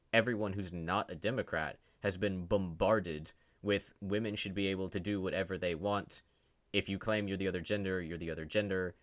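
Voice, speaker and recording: A man with a low voice delivers off-the-cuff commentary. He reads online posts aloud and responds with sarcasm and open disbelief. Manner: sarcastic, incredulous. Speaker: commentary host. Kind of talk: unscripted commentary, reading posts aloud and reacting.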